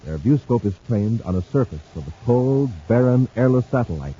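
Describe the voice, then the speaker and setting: A man's voice: mid-range voice, expressive, moderate pace, mellow. A man announcing and reading sponsor ads for a 1950s radio serial in a showman-like, exclamatory way. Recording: muffled old radio transcription with faint hiss.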